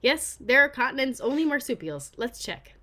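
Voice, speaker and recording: A woman reading the part of a calm, practical neighbor of about seventy in a children's story, matter-of-fact and explanatory. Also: high-pitched, normal speed, voice pushed up, exaggerated voice. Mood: earnest.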